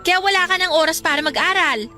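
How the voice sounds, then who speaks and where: A woman's voice: very high voice, fast, pushed and bright. A woman playing an earnest, studious young best friend, admonishing in a radio drama. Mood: concerned, pleading. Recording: music bed under the voice.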